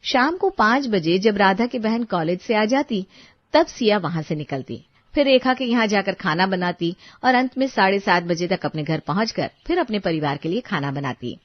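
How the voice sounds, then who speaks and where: A woman's voice: medium pitch, lively intonation, normal speed, muffled recording. A woman reading a scripted moral story as its narrator.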